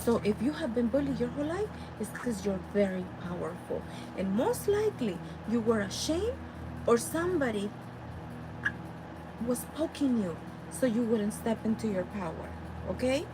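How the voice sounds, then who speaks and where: A woman with a Salvadoran Spanish accent delivers a spiritual teaching and keeps turning to her listeners to ask if they see her point. Her voice sits high and swings widely in pitch. Clear vehicle and street noise runs behind her. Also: normal speed. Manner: animated, addresses listeners directly. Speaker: spiritual healer.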